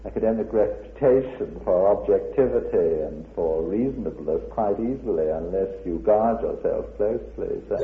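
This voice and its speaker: A man's voice: low voice, lively intonation, moderate pace, few pauses. A male academic answering an interviewer in a careful, reserved, slightly hesitant way. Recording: muffled, phone-like, echoey room.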